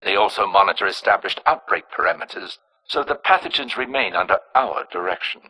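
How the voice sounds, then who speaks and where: An actor playing a cold, arrogant scientist in a video game, narrating with clinical precision in a raspy, hard-edged voice. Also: male voice, sits low, expressive, brisk, pushed and bright, heavily acted, low-quality recording. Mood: clinical, detached. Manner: clinical, precise, self-important. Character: cold, arrogant male scientist engineering plagues and alien pathogens.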